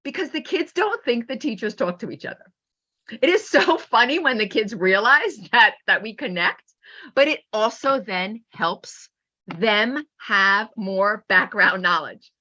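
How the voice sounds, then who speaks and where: A woman teacher giving an informal webinar talk with anecdotes in an animated manner, acting out remarks as she goes. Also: fairly high pitch, unhurried, dull audio. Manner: animated, acts out pupils' remarks. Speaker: teacher and author presenting a webinar.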